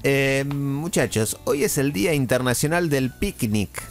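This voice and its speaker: A man with a Rioplatense Argentine accent hosts a radio segment in a wry, teasing way. His pitch swings widely as he goes through the list of the day. Faint music runs under the studio talk. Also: animated, measured pace. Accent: Rioplatense Argentine Spanish. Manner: leads the segment, teasing and wry, reading out the day's observances. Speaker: radio host. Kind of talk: casual radio hosting.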